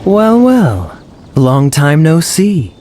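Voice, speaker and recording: An actor voicing a suave, teasing knight in a video game, sly, wry and mock-polite. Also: male voice, a little high, huge pitch swings, extremely slow, background noise, exaggerated voice. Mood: amused, teasing.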